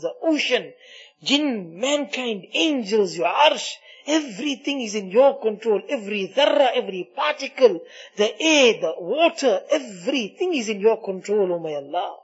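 A man leading an impassioned Islamic supplication, pleading and repeating his invocations, swinging between hushed murmurs and loud cries. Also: extremely high pitch, slightly rough. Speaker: religious leader leading a supplication. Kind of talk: impassioned spoken Islamic prayer. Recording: muffled, dull lo-fi audio.

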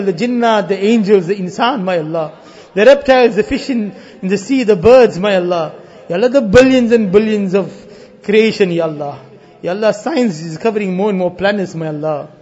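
High-pitched husky man in pleading prayer. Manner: pleading, emotional, rising from hushed to loud cries. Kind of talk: impassioned supplication with repeated refrains. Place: live religious gathering. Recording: muffled, dull lo-fi live recording.

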